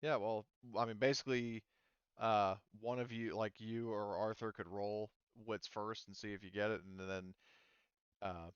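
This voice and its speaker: A man's voice: on the low side, fairly even intonation, moderate pace, clean tone, dry recording, lo-fi sound. A man acting as game master, casually explaining tabletop game rules to players.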